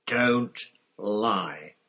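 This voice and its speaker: A man's voice: on the low side, expressive, drawn-out words, bright, forceful tone, heavily acted. A man voicing a furious, vengeful man in a storybook, snarling and roaring accusations at a traitor. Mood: furious accusing. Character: furious vengeful man confronting the traitor who betrayed friends.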